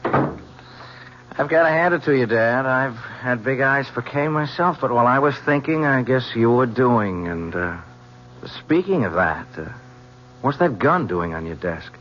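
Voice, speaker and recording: An actor playing a tycoon's young adult son, casually sardonic, in an old radio drama. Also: male voice, mid-range voice, expressive, measured pace, fairly bright tone. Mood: sardonic, probing. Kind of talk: 1970s radio drama acting. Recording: dull, faintly noisy old radio broadcast.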